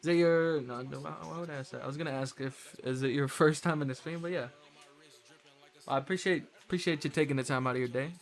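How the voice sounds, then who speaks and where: A man's voice: fairly high pitch, fast. A man chatting casually with viewers as a game streamer, friendly, appreciative and slangy. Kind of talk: casual livestream chat with viewers.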